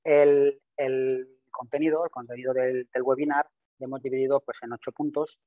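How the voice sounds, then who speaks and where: A man's voice: medium pitch, little pitch movement, warm tone, no room sound, highs cut off. Non-native man presenting a webinar. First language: not Spanish. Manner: quick, with restarts and repeated words. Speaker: webinar presenter, systems engineer. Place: home office with virtual background. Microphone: video call webcam.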